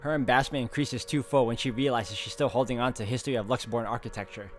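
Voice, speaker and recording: A man's voice: medium pitch, fairly even intonation, fast, soft background music. Man reading a visual novel aloud. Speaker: video game walkthrough host.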